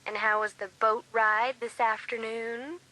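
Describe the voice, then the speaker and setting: A woman's voice: a little high, little pitch movement, a little slow, bright, forceful tone, poor audio. A woman playing a concerned, inquisitive teenage girl confidante in a dramatised audiobook. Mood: curious. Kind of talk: dramatised audiobook acting of a phone chat.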